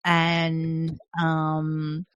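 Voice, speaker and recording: Crude, meandering podcast host. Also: male voice, a little high, completely flat delivery, drawn-out words, fairly bright tone, dry recording. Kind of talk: casual recap chat. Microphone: headset or desk mic on a live stream.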